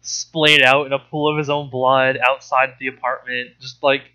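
A man's voice: mid-range voice, level tone, moderate pace, bright, forceful tone, clean tone, muffled recording. A man giving a casual comedic rant as a book commentator, with an exasperated, sarcastic, profane way of talking. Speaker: host reviewing a book.

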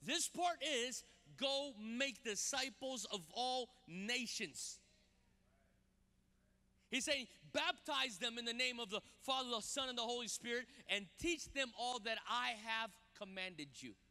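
A man, a guest pastor preaching on a church stage into a handheld mic, animated and often repeating words as he starts a sentence. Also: extremely high pitch, measured pace. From Brazil. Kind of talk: sermon with personal stories. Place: large church stage with audience. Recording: long hall reverb.

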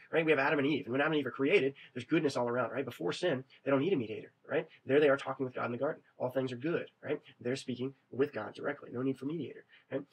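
Fast-talking man lecturing by webcam. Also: mid-range voice, husky, poor audio. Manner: quick, conversational, frequent "right?" check-ins. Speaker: religion teacher. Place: small indoor room. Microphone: webcam at desk.

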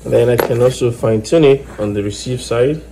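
A man explaining step by step in a halting way, as host of a hands-on electronics tutorial. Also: mid-range voice. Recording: clear background noise with clicks.

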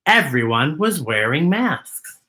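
A middle-aged man presents a story for small children with theatrical flair. His voice is bright and forward, and it swings widely up and down. He plays up his phrases and talks straight to the young listeners. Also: a little high, big pitch swings, slow pace. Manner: theatrical, playful, exaggerated delivery for children. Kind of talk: children's read-aloud with chatty asides. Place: living room, indoors.

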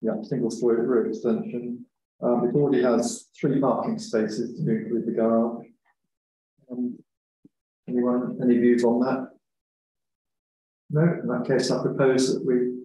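A man's voice: mid-range voice, flat delivery, dark tone, highs cut off. Man chairing in an echoey room. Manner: brisk procedural chairing. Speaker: committee chair. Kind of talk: council meeting discussion. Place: large meeting room. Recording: echoey room with faint ambience.

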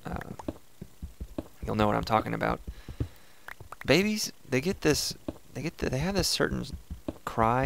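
Raspy, gravelly man chatting over gameplay. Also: mid-range voice, noisy background. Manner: relaxed, chatty, thinking aloud while playing. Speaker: let's play host. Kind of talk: casual gameplay commentary.

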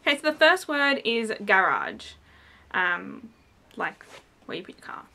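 A woman's voice: medium pitch, sing-song, a little slow, gravelly, sharp tone. A young woman from New South Wales with a broad Australian accent, chatting casually to a camera in a small room and rambling with lots of ums and likes. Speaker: vlogger. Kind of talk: casual vlog chat. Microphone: camera in front, head and shoulders framing.

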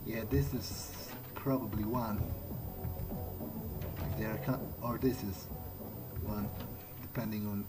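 A man thinking aloud in character as he plays a roleplaying game, musing haltingly to himself. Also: fairly low pitch, fairly even intonation, loud music behind the voice.

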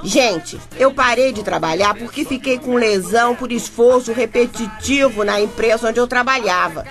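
Fed up brazilian wife telling a radio drama story, chatty and sarcastic, music underneath. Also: female voice, on the high side, moderate pace, a bit bright. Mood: indignant, proud. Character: exasperated, sarcastic wife narrating her husband's story.

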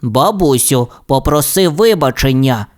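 A woman's voice: very deep voice, animated, measured pace. A woman voicing a cautious, timid young boy tyrannosaurus in a children's story, earnest and reluctant. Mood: stern.

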